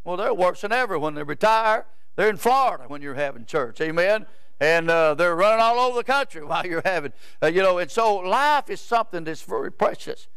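Folksy older preacher, high and clear. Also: male voice, lively intonation, a little fast, fairly bright tone, no room sound, clean studio sound. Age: 70s. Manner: rambling, repeats words, asks "Amen?" of the crowd. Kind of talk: folksy sermon. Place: church sanctuary, indoors.

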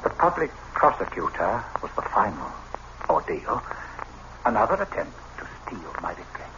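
An actor playing an unhinged, arrogant husband who plotted a murder, narrating and speaking intensely and haltingly in a radio play. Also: male voice, sits low, very animated. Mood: smug, brooding. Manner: intense, dramatic, halting delivery. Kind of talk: radio play acting with first-person narration. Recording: noisy, dull old radio recording.

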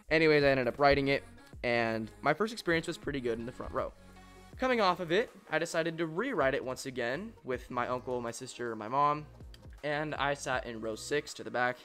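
A young man with an average-pitched voice tells a travel story as the voice-over for his own vlog. He sounds eager and keeps the tale moving like a storyteller. Faint music plays under his voice. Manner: excited, storytelling enthusiast. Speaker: coaster vlogger. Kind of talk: voice-over narration. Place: voice-over, not at the park.

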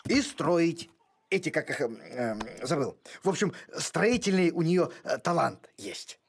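A man voicing a friendly, simple-minded talking cartoon dog with a gravelly, folksy, fumbling delivery. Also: on the high side, expressive, unhurried, background noise. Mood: hesitant. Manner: hesitant, folksy, fumbling for words.

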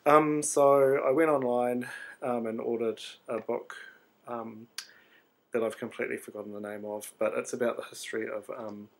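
A man's voice: mid-range voice, fairly even intonation, normal speed. A man, an artist rambling through a sleepy, hesitant video diary full of ums and restarts. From New Zealand. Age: 30s.

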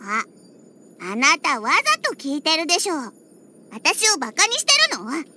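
A woman's voice: sits high, sing-song, moderate pace, very noisy. A woman voicing a feisty little catgirl in an anime game, with a childlike, cutesy voice and a huffy, pouty manner. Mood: indignant, pouty. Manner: pouty, huffy, with cat-like verbal tics. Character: feisty little catgirl bartender who hates alcohol. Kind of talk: Japanese anime game character acting. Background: background music.

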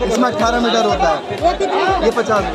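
High-voiced man selling at a market. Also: steady tone, moderate pace, over a noisy crowd. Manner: matter-of-fact, lists prices and lengths. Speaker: lace stall vendor. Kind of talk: quoting prices to a customer. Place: crowded outdoor market stall.

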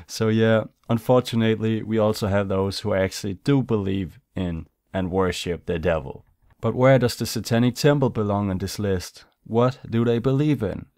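A man's voice: on the low side, moderate pace, a little mellow, no room sound, clean studio sound. A young man whose first language is Danish, talking casually in English over game footage, laid-back and chatty. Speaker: YouTuber. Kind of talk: casual commentary over gameplay.

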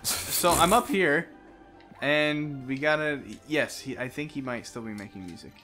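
Bright-voiced young man, teasing gamer. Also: fairly high pitch, a little slow, soft background music. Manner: teasing, crude, swearing banter. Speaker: let's play co-host playing the game. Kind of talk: casual chat while gaming.

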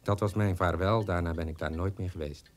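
An actor playing a bitter, morbidly hateful son in a radio drama, speaking with grim emphasis. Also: male voice, sits low, steady tone, a little fast, lightly acted. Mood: savage bitterness.

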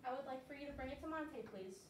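A woman teaching a class of young children, lively and coaxing, with call-and-response cues. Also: high-pitched, quick pace. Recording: echoey classroom from far off, light noise.

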